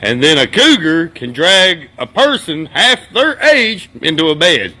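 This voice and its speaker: A man's voice: on the high side, sing-song, a little slow, bright, forceful tone. A man delivering a comic fun-fact bit.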